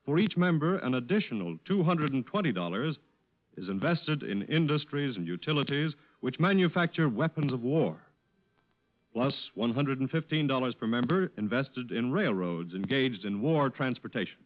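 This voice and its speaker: A man's voice: mid-range voice, expressive. Formal American 1940s radio announcer, male. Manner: formal, measured, emphatic. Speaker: radio announcer and sponsor's spokesman. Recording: dull old radio broadcast with music. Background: music.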